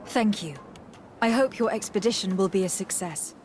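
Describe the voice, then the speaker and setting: A woman playing a composed, courteous young noblewoman diplomat in a video game, speaking formally in measured phrases with a husky voice. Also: medium pitch, darkish tone, a little hiss or hum, lightly acted. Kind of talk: video game dialogue acting.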